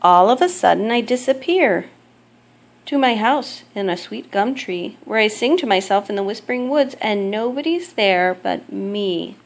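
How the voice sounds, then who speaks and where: A woman reading a children's picture book aloud as a mother would, in measured, expressive storytelling. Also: medium pitch, vocal fry.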